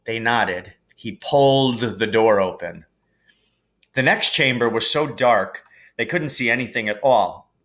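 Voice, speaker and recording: A man reading a storybook aloud as an expressive narrator, in a measured, deliberate storytelling manner. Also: medium pitch. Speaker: teacher reading a novel aloud.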